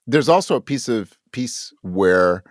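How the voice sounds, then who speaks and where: A man with a medium-pitched voice talks as a guest in an interview. He thinks aloud in a halting, conversational way, quoting his own thoughts as he goes. The sound is dry, with little room on it. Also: animated, dry recording. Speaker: interview guest, audio drama writer. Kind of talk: conversational interview about writing.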